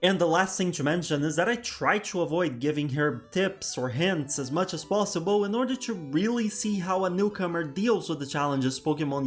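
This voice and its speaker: A man with Portuguese as his first language, narrating a scripted game commentary with dry, self-deprecating humor. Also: fairly high pitch. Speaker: video essay host.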